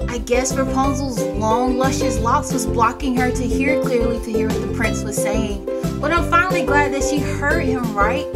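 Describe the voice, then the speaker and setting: A young woman hosting storytime for children in an animated, enthusiastic manner. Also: fairly high pitch. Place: small space indoors before a fabric backdrop. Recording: loud music behind. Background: children's music.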